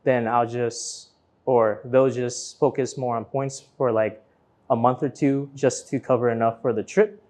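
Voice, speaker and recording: A man from Vietnam, raised partly in Florida, answers as an interview guest. His voice sits at a medium pitch. He talks casually and lays out his explanations one step at a time. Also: fairly even intonation, a little slow, a little mellow. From born in Vietnam, grew up in Florida from age nine. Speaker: interview guest, business owner.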